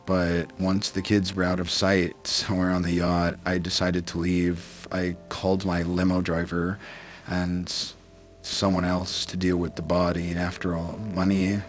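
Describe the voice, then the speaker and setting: A man playing a guilt-ridden, middle-aged rich businessman in a dramatic roleplay, speaking haltingly and repeating his words. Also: low voice, monotone. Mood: regretful, shaken.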